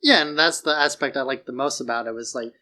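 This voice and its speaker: A man's voice: medium pitch, fast, sharp tone. A man co-hosting a podcast, casually discussing films. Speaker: podcast co-host.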